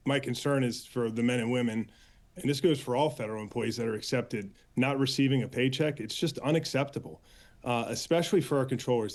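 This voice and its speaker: An American man answering as an interview guest and union spokesman, earnest and halting, with frequent filler pauses. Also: medium pitch. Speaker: air traffic controllers' union official, interview guest.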